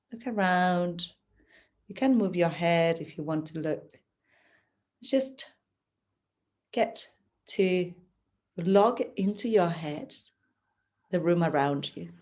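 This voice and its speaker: A woman teaching yoga nidra, giving gentle, soothing, unhurried guidance through a relaxation practice. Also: fairly low pitch, animated. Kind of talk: guided relaxation instruction.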